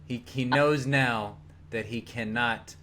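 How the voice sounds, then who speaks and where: A man's voice: mid-range voice, steady tone, slow pace, bright, forceful tone, a little hiss or hum. A man co-hosting a livestreamed TV review, joking around and swearing freely. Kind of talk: casual chat.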